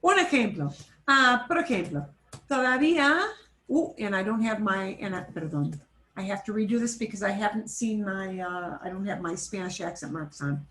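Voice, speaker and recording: A woman teaching a Spanish class over a video call, switching between Spanish and English and repeating phrases slowly. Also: medium pitch, animated, pushed and bright, reverberant, slight background noise. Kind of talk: online class instruction.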